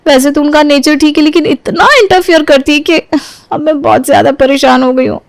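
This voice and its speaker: A woman's voice: sits high, steady tone, fairly quick, no room sound, pitched above the performer's own voice. A woman voicing a meek, overworked young daughter-in-law who speaks earnestly and deferentially in an audio story. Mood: troubled.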